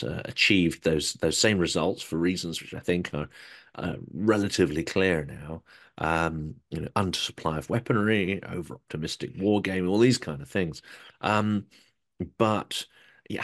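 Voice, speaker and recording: Deep-voiced male interviewer. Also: a bit bright. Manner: loose, winding questions with hedges. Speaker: interview host. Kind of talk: conversational interview questions.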